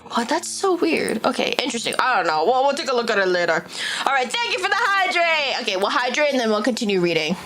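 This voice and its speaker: A young woman streaming casually, reading stories aloud and chatting with viewers, animated and quick to exclaim and swear. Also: fairly high pitch. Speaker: VTuber streamer. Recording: faint music behind.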